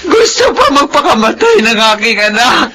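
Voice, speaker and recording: An anguished middle-aged grandmother in a radio melodrama. Her voice is very high and bright, swinging widely as she wails in tearful despair over her grown children. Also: big pitch swings, normal speed, a little hiss or hum, exaggerated voice. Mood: wailing despair. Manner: tearful, wailing. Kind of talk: melodramatic radio drama acting.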